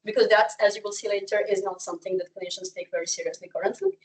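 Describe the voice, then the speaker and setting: A woman's voice: medium pitch, steady tone, racing, far from the mic. A young woman from Serbia, a native Serbian speaker, giving a candid conference talk as a researcher, with frequent fillers and restarts. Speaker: researcher presenting at a summer school.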